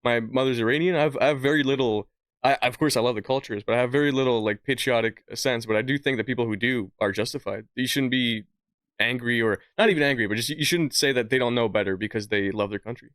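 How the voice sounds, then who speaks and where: A young man talks very quickly in a dry, close recording. He sounds casual and slangy, debating and joking as a podcast co-host, with a middling pitch. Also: a bit bright, clean tone, dry recording. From born in Hungary, lives in Quebec. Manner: slangy, argumentative.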